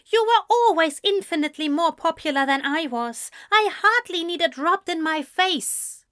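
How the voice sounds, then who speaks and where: A woman voicing a tense, sharp-tongued young man who snaps back in clipped retorts. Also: very high-pitched, lively intonation, measured pace, pitched above the performer's own voice, full character voice. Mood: snapping.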